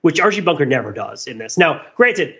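Guy podcasting, kinda high voice, casual but analytical, goes off on tangents w emphasis, bit dull sounding audio. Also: big pitch swings, brisk, pushed and bright. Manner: discursive, digressive, emphatic. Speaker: podcast host.

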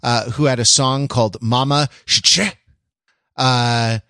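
Male podcast host, mid-pitched, dry close sound. Conversational, wordy, often rephrasing as he explains. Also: steady tone, slow, sharp tone, dry recording. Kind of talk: casual pop-culture discussion.

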